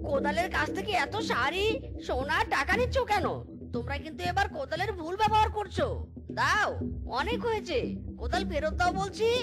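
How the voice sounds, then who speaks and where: An exasperated fox husband in a cartoon. The voice is squeaky high, whining and scolding in melodramatic fashion, with music behind it. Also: female voice, expressive, brisk. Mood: angry scolding. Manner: melodramatic wailing complaints. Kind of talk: Bengali cartoon dialogue.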